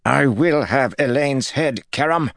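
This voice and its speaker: A man's voice: medium pitch, animated, measured pace, creaky, a bit bright, dry recording, voice pushed up. A man voicing a raging, unhinged middle-aged lord who barks threats and sputters with anger in a storybook. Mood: furious.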